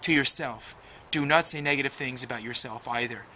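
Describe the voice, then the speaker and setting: A man's voice: medium pitch, brisk, bright, forceful tone, slight background noise. A man giving a wordy, emphatic, preachy advice monologue as a video blogger.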